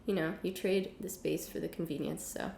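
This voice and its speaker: A young woman teaching a casual music lesson at home, hoarse from illness, chatty with many ums and the odd cough. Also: fairly low pitch, fairly even intonation, moderate pace, a little mellow, roomy sound, a little hiss or hum. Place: home room with piano and guitars.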